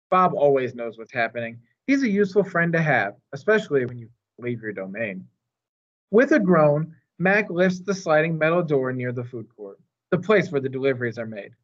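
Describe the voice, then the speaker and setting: Expressive male storybook reader, lively pitch. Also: lo-fi sound. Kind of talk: expressive children's storybook reading.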